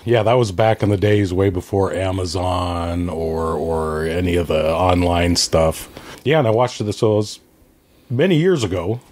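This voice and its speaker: A middle-aged man chatting casually as a podcast guest, pausing often to search for words. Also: sits low, a little mellow. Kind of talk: casual chat.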